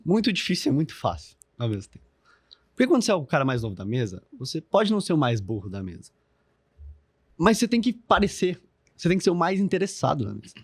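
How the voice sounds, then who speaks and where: A man's voice: on the high side, big pitch swings, quick pace, slightly rough, no echo, studio quality. A man in his twenties with a Brazilian Portuguese accent, a podcast guest talking in a casual, slangy way, swearing freely and leaning on fillers. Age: 20s. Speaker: podcast guest, business founder.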